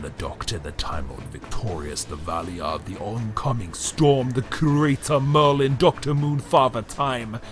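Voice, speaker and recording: An ancient, power-mad emperor played by a man holds forth in a theatrical audio drama monologue. The delivery is slow and grandiose, full of dramatic pauses and bitter menace. The pitch is medium for a male voice, with faint music behind it. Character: bitter, megalomaniac male emperor, ancient disembodied time-travelling being.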